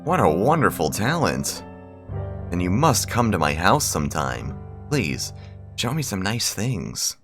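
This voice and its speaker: Man putting on a genteel, doting rich young lady, deep-voiced. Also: sing-song, measured pace, music in the background, exaggerated voice. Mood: charmed, polite. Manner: formal, genteel, gushing politeness. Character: refined rich young lady, doting, formal, intensely devoted.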